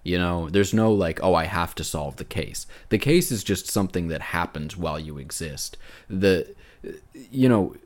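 A man's voice: deep voice, moderate pace. A man co-hosting a casual video game discussion, speaking as an earnest, emphatic enthusiast. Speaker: podcast co-host.

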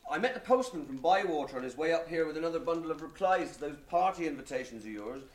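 A man plays an earnest young gardener hobbit in a radio play, bashful and respectful. His rural English voice runs fairly high and bouncy, with clear room echo around it. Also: expressive, fast talker, natural voice. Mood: eager, bashful. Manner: hesitant, respectful. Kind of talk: radio drama acting. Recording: roomy, echoing studio sound.

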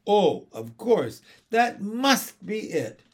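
Storybook mum: male reader playing an oblivious, crooning mother, raised pitch, slow and very animated. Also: heavily acted. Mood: soothing. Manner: gushing, crooning, soothing. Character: fussing, doting, oblivious mother of babies.

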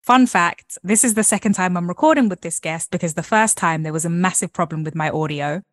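Brief: lively female podcast host, somewhat low voice, close dry recording. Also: brisk, dry recording. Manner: upbeat, playful, scripted-sounding intros. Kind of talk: hosting a tech-career podcast interview.

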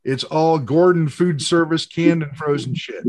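A man's voice: on the high side, measured pace, darkish tone, no room sound. A man chatting casually, blunt and wry in his complaints. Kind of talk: casual chat.

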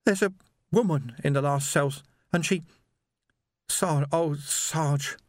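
A man voicing a distraught, naive young lance constable in an audiobook, halting and trembling. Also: high voice, lively intonation, fairly bright tone, no room sound, studio quality, pitched above the performer's own voice. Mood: shaken distress. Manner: shaky, halting, repeating himself. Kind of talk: audiobook character voice.

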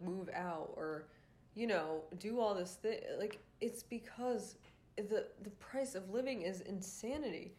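Congested young woman ranting on her podcast. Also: mid-range voice. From Rhode Island. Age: young adult. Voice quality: nasal, congested. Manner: frustrated, swears often, many fillers. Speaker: podcast host. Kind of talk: casual ranting monologue.

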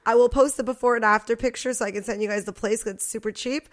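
Podcast co-host, woman, late 20s, bright higher pitch, giggly and chatty. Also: steady tone, fast. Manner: giggly, chatty, laughs often. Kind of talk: casual chat.

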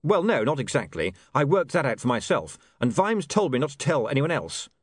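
A man voicing a prim, earnest young newspaperman who speaks in a crisp, proper way. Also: medium pitch, big pitch swings, fairly quick, no echo, studio quality, voice pushed up.

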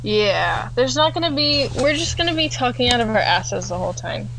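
Wry young woman with a lively voice. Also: a little high, moderate pace, vocal fry, a little hiss or hum, poor audio. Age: young adult. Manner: wry, joking. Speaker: podcast co-host, younger sister. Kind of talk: casual chat.

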